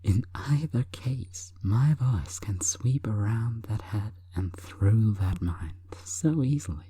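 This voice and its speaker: A woman hypnotist delivering an intimate sleep hypnosis monologue in a breathy, coaxing, deliberate whisper. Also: a bass voice, animated, warm tone, dry recording.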